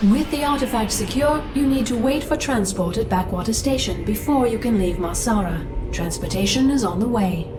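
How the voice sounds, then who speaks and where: An actress voicing a composed military computer adjutant in a video game, measured, precise and impersonal. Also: female voice, a little high, animated, far from the mic, music in the background, close to an everyday voice. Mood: calm, informative. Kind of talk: cinematic video game acting.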